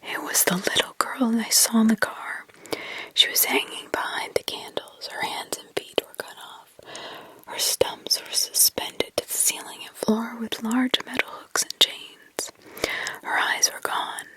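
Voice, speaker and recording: Breathy whispering woman narrator. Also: a little high, level tone, rough recording. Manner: hushed, slow, breathy storytelling. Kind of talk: whispered horror story narration.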